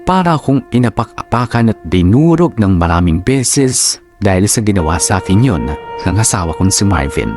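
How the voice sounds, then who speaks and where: A man narrating a letter for a radio drama in measured phrases, with sentimental, dramatic delivery. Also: lowish voice, sing-song, a little mellow. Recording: clear music bed behind.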